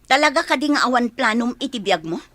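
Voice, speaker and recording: A woman's voice: on the high side, lively intonation, quick pace, bright, forceful tone. A woman with a Filipino accent acting a hurt, nagging, thrifty girlfriend in an emotional, scolding radio drama style. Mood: exasperated. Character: Bianca, hurt, nagging, thrifty girlfriend confronting her boyfriend.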